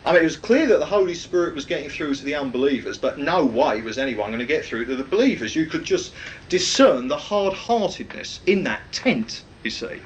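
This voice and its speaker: A man with a loud, projecting voice, preaching a rambling, anecdotal sermon with many fillers. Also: a little high, lively intonation, a little fast, slight background noise, low-quality recording.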